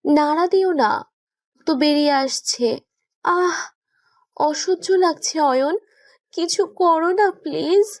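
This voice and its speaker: Woman voicing childish young female lover, very high voice, whiny, sulky, pleading, close dry sound, romantic audio drama. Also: takes it slowly, long pauses, dry recording. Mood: pained, pleading. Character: young woman in love, childish, pouting, whiny wife or girlfriend. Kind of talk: romantic audio story acting.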